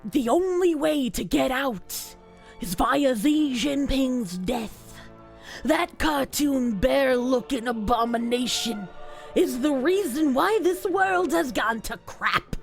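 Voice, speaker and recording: A woman voicing an obsessed, self-pitying man in a theatrical, anguished dramatic reading that breaks into shouting. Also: high-pitched, animated, slow, vocal fry, soft background music, heavily acted. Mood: hateful, desperate. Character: ranting, self-pitying, obsessed man blaming everyone, melodramatic villain. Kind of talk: dramatic reading of social media posts.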